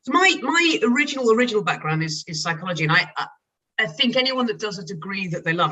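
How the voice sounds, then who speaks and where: A woman, a company chief executive, answering interview questions with lively, effervescent energy, though she is a bit tired. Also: low-pitched, breathy edge, distant mic, low-quality recording. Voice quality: lively, fast-talking, effervescent.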